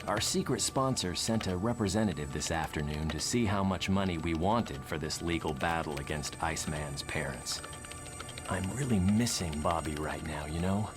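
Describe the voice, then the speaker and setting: An actor playing a gentle, trusting and articulate young mutant scientist in a motion comic, with music behind. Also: male voice, deep voice, lightly acted. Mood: wistful. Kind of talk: dramatic motion comic voice acting.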